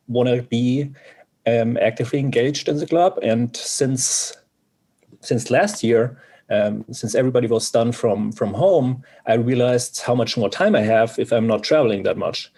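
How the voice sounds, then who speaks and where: A man from Germany with a German accent, introducing himself as a new club member on a video call, polite and halting, with many fillers. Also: mid-range voice, dark tone.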